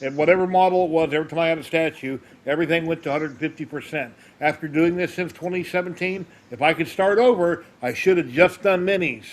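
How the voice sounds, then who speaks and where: A man's voice: fairly high pitch, fast talker, hardly any pauses. A man hosting a casual maker podcast, chatting easily and giving advice from experience over a video call. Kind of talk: casual chat.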